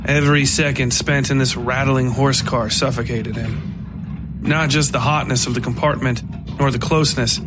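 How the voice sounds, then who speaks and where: A man with a mid-pitched voice narrates dark fiction from a script in a deliberate, descriptive manner. Clear music plays underneath the reading. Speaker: audiobook-style narrator.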